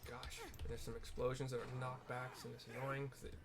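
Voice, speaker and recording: A man's voice: medium pitch, flat delivery, fairly quick. A man giving casual, rambling gameplay commentary, explaining and describing what happens as he plays. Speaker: video game streamer and narrator.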